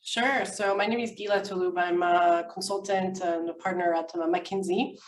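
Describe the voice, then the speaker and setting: A woman from France, speaking conversationally and haltingly as a webinar panelist. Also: fairly low pitch, fairly even intonation. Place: indoor room, online webinar. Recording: echoey, distant mic, faint noise.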